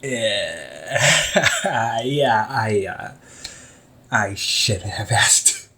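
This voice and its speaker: A relaxed older brother who runs a hobby shop, chatting and ribbing his sibling in an audio roleplay, acted by a man. He takes his time with each line, but his voice swings up and down in a lively, playful way. Small laughs keep slipping into his talk. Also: fairly low pitch, very slow, creaky, exaggerated voice. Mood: casual. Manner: chatty, teasing, laughs often. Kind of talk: one-sided audio roleplay to the listener.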